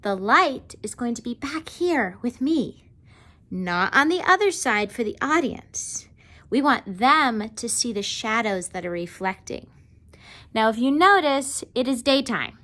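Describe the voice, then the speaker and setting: Woman w a highish voice doing a kids how-to, really slow and simple, teacher style, keeps checking in. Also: lively intonation, pushed and bright. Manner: simple child-directed talk with frequent check-in questions. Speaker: teacher demonstrating a craft for children. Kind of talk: step-by-step how-to demonstration for children. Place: indoors at home.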